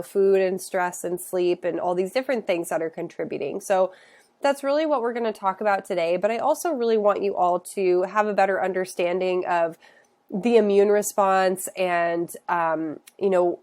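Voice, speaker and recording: A woman who works as a functional nutritionist, congested from a cold, explaining health topics in a casual, explanatory way with many filler sounds. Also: mid-range voice. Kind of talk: educational talk to camera.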